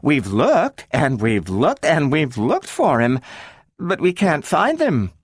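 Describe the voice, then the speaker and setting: A man reading aloud and voicing a gentle, anxious mother who coaxes her child slowly. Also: fairly high pitch, wildly swooping pitch, dry recording, studio quality, pitched above the performer's own voice. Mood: coaxing. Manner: slow and coaxing.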